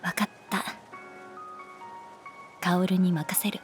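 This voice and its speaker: A woman playing a caring, composed female homeroom teacher in a Japanese voice drama, speaking in a calm, measured and gentle way. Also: fairly low pitch, over music. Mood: tender.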